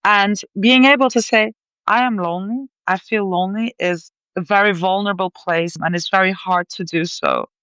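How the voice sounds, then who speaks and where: A woman guest from Belgium, telling her story in an animated way with lively asides. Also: medium pitch, normal speed, clear, resonant tone, no room sound, highs cut off. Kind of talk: conversational interview.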